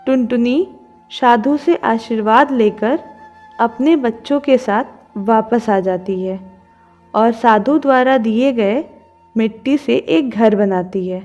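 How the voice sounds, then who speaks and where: Female narrator for children's tales: somewhat high voice, very measured pace, light music bed. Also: a little mellow, highs cut off. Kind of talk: scripted children's story narration.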